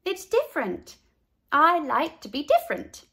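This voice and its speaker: A woman's voice: sits high, huge pitch swings, a little slow, sharp tone, voice pushed up, full character voice. A woman voicing a cheerful, proud little girl in a storybook who likes being different, speaking emphatically. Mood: defiant.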